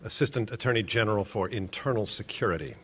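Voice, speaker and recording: A man's voice: lowish voice, fast, a bit bright, slight background noise, lightly acted. A man playing a formal, respectful government lawyer in a courtroom radio drama, recorded in an echoing hall.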